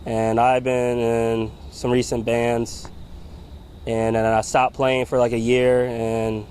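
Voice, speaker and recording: Halting young man in a band. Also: medium pitch, monotone, darkish tone, a little hiss or hum. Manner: halting, repeats words. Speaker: band member. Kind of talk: casual interview answers. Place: outdoors at a music venue.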